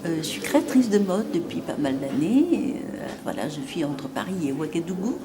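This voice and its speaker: Measured woman designer, noisy room. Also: lowish voice, very animated. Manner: measured, halting with fillers. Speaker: fashion designer. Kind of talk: testimonial interview.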